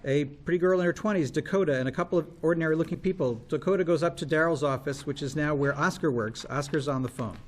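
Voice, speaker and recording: A man's voice: on the high side, fast, hardly any pauses. A man reading stage directions as scripted narration at a live table read. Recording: noisy live room recording.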